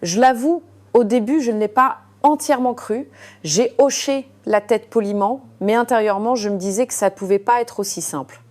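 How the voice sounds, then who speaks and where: A woman narrating a first-person story as a surgeon, in a measured, confiding way with frequent hesitant fillers. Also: fairly high pitch, darkish tone.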